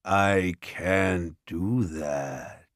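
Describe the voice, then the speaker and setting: A man voicing a hulking, menacing ogre villain in a storybook, with a deep, booming, growling voice and a commanding manner. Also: extremely slow, gravelly, no room sound, clean studio sound, heavily acted.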